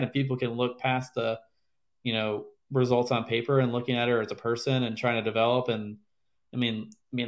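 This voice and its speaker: A man, a former caddie and podcast guest, talking in a rambling, halting way with many restarts. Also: medium pitch. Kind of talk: casual interview chat.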